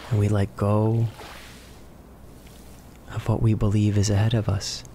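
A man leads a guided relaxation in a low, very even voice. He speaks soothingly in short phrases with long pauses between them. Clear wave noise runs behind his words. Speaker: guided meditation narrator. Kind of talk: slow guided relaxation narration. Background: ocean waves and relaxation music.

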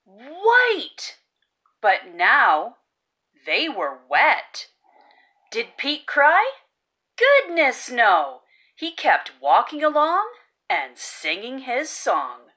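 Very animated woman reading to children. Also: high-pitched. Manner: expressive, exaggerated exclamations with drawn-out key words. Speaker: children's story reader, host. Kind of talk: animated children's read-aloud.

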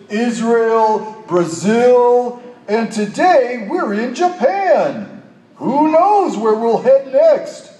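Man as a high-pitched, animated puppet detective dog. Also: full character voice. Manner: exaggerated, enunciated delivery for children. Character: eager cartoonish detective puppet dog, friendly and boastful. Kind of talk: scripted children's puppet show. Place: large hall, phone recording from the audience. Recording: echoey hall, far from the mic.

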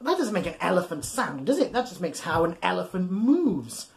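High-pitched animated man telling children a story. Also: gravelly. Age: 30s. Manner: exaggerated, playful, encouraging; addresses listeners with questions and pauses. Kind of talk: animated read-aloud to young children. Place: small home room full of soft toys.

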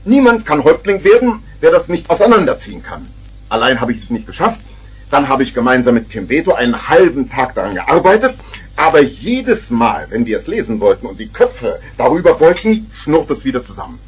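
An actor playing an excitable, boastful eccentric uncle who tells rambling tall tales in a 1970s German children's radio play. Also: male voice, medium pitch, brisk, breathy edge, low-quality recording. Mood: excited storytelling. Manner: theatrical, rambling storyteller.

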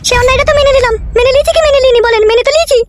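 A woman's voice: very high-pitched, steady tone, rapid-fire. A woman playing an aggrieved, self-pitying young village wife in a comic cartoon, complaining in rustic slang in an artificially raised voice. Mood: indignant.

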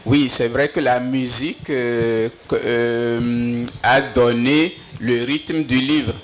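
A middle-aged man from Togo, French not his mother tongue, answering questions slowly and thoughtfully at a book fair talk, with many pauses and hesitations, speaking into a handheld mic. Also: mid-range voice, fairly even intonation. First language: a Togolese language. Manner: slow and reflective, many hesitations, gestures with free hand. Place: indoor book fair stage before an audience.

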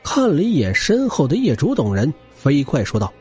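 A man's voice: on the high side, huge pitch swings, normal speed, a little mellow, husky, soft background music. A man narrating action fiction as a scripted audiobook, with a dramatic, theatrical and intense delivery.

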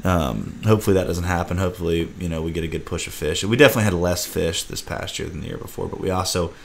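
A young man with a drawl and a very deep, low voice. He hosts a laid-back podcast from an indoor room over a video call and rambles loosely, restarting his sentences as he goes. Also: fast, gravelly, a little hiss or hum. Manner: relaxed, rambling, talks through restarts. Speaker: podcast host and fishing guide. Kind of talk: casual podcast chat.

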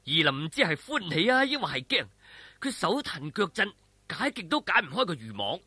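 A high-voiced man with a Hong Kong Cantonese accent tells a martial arts tale theatrically. His voice is very sharp and forceful, with a husky edge, and he keeps the suspense up in colloquial language. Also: big pitch swings, moderate pace, dry recording. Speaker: storyteller. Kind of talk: dramatic audiobook-style martial arts storytelling.

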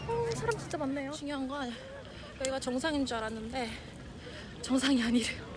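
A woman's voice: high-pitched, a little fast. A young woman narrating a hiking vlog, chatting casually and a little breathless as she climbs a hillside trail with a handheld camera. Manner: breathless chatty remarks while hiking uphill. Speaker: vlogger filming a hike with her mother. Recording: loud outdoor noise.

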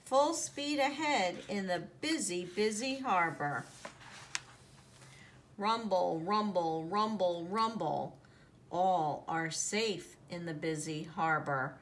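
A woman reading a children's picture book aloud in an expressive, deliberate storytelling manner, drawing out the sound words. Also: fairly low pitch. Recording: noticeable background noise, home recording.

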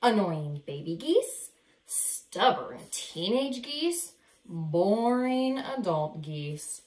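Animated woman reading a storybook aloud. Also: medium pitch. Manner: dramatic, deliberate emphasis. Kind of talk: expressive children's read-aloud. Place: small living room, indoors. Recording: roomy, echoey indoor sound.

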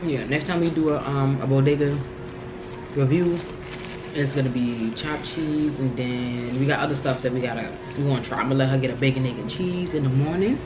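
A young woman co-hosting a casual food review vlog, relaxed and agreeable, chiming in and talking over her partner at a table close to the camera indoors. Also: extremely low pitch, a little fast, noisy background. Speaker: vlog co-host. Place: small indoor room, seated at a table.

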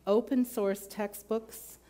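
A woman's voice: on the high side, steady tone, slow pace, warm tone. A middle-aged woman from the American Midwest speaking as a candidate at a campus forum in a lecture hall, earnest and detailed, on a lapel mic. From Midwestern United States. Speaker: provost candidate.

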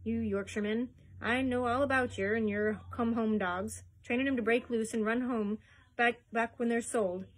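A woman reading aloud, voicing a small, thin, sneering man who mocks the family, in a loud and sly way. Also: medium pitch, normal speed, few pauses. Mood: mocking.

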